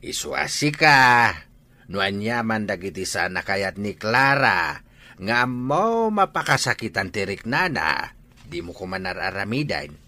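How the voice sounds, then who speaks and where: A man playing an emphatic older Ilocano father, swooping pitch. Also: sing-song, a little slow, pushed and bright, heavily acted. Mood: fatherly advice. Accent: Ilocano Filipino. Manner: admonishing, emphatic. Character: earnest older father advising his grown son. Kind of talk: Ilocano radio comedy drama acting.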